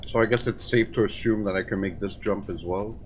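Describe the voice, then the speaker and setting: A man's voice: fairly low pitch, steady tone, a little fast, a little hiss or hum. A man with a lisp giving casual, wryly sarcastic commentary as he plays a video game for a live chat. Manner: wry, sarcastic grumbling.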